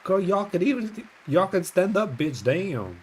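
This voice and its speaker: A man's voice: sits high, big pitch swings, unhurried, a little hiss or hum. A man streaming a video game with casual live reactions, slangy, exclamatory and sweary. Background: game music.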